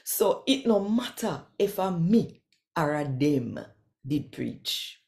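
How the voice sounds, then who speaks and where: Older jamaican lady reading scripture, gravelly mellow voice, really emphatic. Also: lowish voice, big pitch swings, slow pace. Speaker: host and scripture reader. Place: small quiet room indoors.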